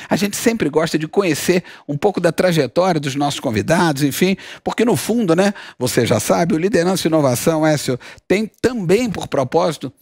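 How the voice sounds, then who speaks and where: A man hosting a business interview show, chatty and effusive, often jumping in with quick remarks. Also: fairly high pitch, animated, a little mellow, dry recording, studio quality. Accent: Brazilian Portuguese.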